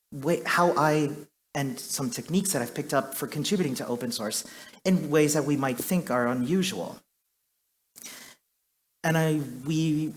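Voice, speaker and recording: Man from Chile on a conference stage, slightly high voice, chatty and self-deprecating, lots of "like" and "um". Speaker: conference speaker. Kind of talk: conference talk.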